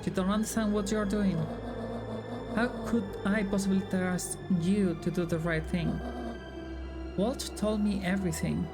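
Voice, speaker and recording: A woman's voice: medium pitch, steady tone, a little slow. A woman streamer reading visual novel dialogue aloud in character. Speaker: streamer voicing game dialogue. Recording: game music behind the voice. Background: game music.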